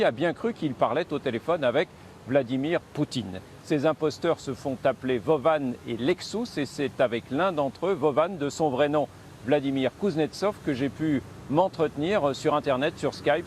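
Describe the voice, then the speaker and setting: A man from giving a conversational live news report as a foreign correspondent. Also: on the high side. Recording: outdoor city noise with traffic.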